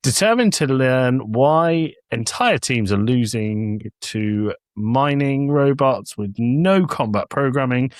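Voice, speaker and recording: A man's voice: medium pitch, dry recording. A man co-hosting a podcast, chatting casually and reading summaries aloud in a jokey, playful way. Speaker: podcast co-host.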